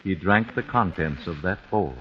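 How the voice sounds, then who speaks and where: An actor playing a calculating, vengeful father in a 1940s radio drama, measured and menacing. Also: low-pitched, breathy edge. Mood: cold. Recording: dull 1940s radio broadcast.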